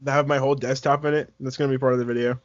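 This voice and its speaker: A man's voice: mid-range voice, flat delivery, racing, slight background noise. A young man in casual banter with friends, blunt and complaining. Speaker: co-host, heckling listener. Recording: dull lo-fi voice chat audio.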